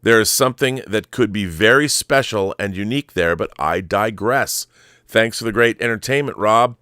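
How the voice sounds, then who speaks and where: Smooth, low-voiced middle-aged man, enthusiastic rambler. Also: normal speed, sharp tone, dry recording, clean studio sound. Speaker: show host. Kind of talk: casual film commentary monologue.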